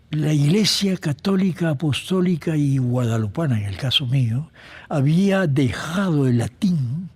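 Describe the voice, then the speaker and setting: An older man with a Costa Rican accent, reminiscing reflectively in an interview, halting and pausing mid-phrase. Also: on the high side, husky. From Costa Rica. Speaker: composer being interviewed.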